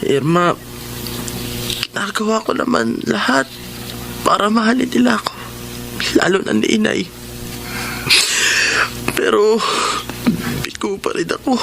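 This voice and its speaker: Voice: mid-range voice, animated, a little slow, gravelly, long pauses, low-quality recording. A performer playing a meek, suffering son who yearns for love, plaintive and resigned, in a Filipino radio melodrama with a Filipino accent. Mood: sad, resigned. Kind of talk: melodramatic radio drama acting. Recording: loud music under the voice.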